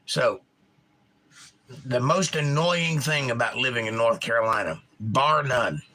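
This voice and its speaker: A middle-aged man whose Georgia accent has drifted a bit north, chatting casually with his livestream viewers. His voice sits in an ordinary middle pitch with a bright, forward edge, and he spells out every consonant with care. He sounds animated and opinionated as he reads comments aloud and answers them. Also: measured pace. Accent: Georgia, US South. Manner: enunciates crisply, animated, opinionated. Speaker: livestream host.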